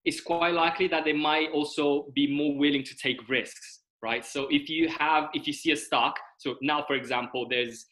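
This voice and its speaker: A man's voice: a little high, fairly even intonation, normal speed, hardly any pauses, a bit bright. A young man from Romania, speaking as a guest over a video call, explaining at length and often checking in with "right?" and "okay?". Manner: explanatory, uses tag questions like "right?" and "okay?". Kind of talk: casual video-call interview.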